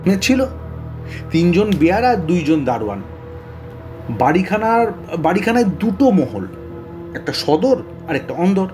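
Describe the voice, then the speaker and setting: An actor playing a talkative, excitable middle-aged police detective in an audio drama, blustery, often stammering and repeating words. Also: male voice, sits high, normal speed, pauses often, music in the background. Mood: grave, explanatory. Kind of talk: Bengali audio story acting.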